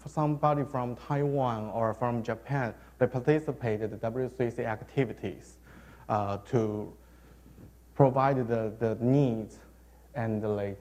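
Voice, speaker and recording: Halting man giving a technical talk. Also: medium pitch, a little mellow. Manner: hesitant, halting, with many restarts. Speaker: conference speaker on web standards. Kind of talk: technical conference presentation.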